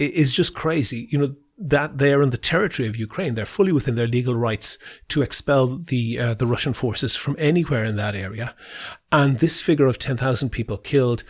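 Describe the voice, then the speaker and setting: A man explaining politics as a guest on an interview podcast, in an assertive, analytical way. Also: mid-range voice.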